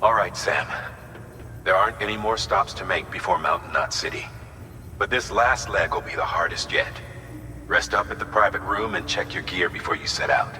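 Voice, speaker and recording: An actor playing a steady, serious mission handler briefing a courier in a video game, firm and instructive. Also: male voice, deep voice, normal speed, long reverb tail, slight background noise. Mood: serious, encouraging.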